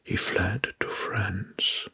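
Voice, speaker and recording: Slow, breathy whispering man. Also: low voice, fairly even intonation. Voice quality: breathy, mostly unvoiced whisper. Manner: slow, deliberate, repeats names and words softly. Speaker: ASMR narrator. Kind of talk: whispered ASMR narration of history facts.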